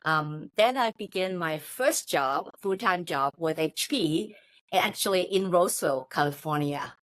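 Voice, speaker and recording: A woman with a Hong Kong Chinese accent speaks as an interview guest, telling stories about herself. Her voice sits a little low for a woman, and the sound is dry and close, with very little room in it. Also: animated, a little slow, fairly bright tone, dry recording. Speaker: interview guest, technology company chief of staff. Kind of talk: interview answers, personal storytelling.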